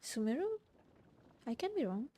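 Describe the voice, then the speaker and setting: A woman's voice: fairly high pitch, sing-song, normal speed, warm tone, a little hiss or hum. A woman with an Indian accent giving casual, playful livestream game commentary in chatty asides. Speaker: game streamer. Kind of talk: casual livestream commentary in mixed Hindi and English.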